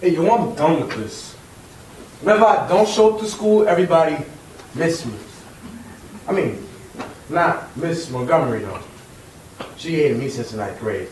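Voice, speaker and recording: A man's voice: a little high, animated, normal speed, long pauses, darkish tone, natural voice. A young man playing a cocky student with a wry, complaining delivery. He is heard from far off in an echoey room with faint noise. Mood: aggrieved. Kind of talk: stage monologue.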